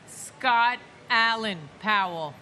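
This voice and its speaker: A woman in her sixties reads names at a memorial ceremony and speaks slowly, solemnly and emphatically as a victim's relative. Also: on the high side, bright, forceful tone, slight background noise. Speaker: victim's family member reading names. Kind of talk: memorial ceremony name reading and speech.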